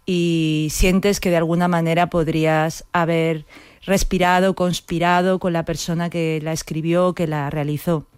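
A woman's voice: lowish voice, little pitch movement, a little slow, darkish tone, clean tone, no echo, studio quality. A woman speaking as an interview guest, a playwright, reflective and conversational, often checking for agreement with short tag questions.

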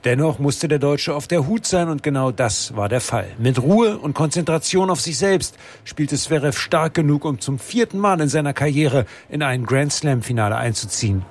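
A man giving a scripted sports report as a correspondent, in a steady, matter-of-fact way. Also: on the high side, normal speed.

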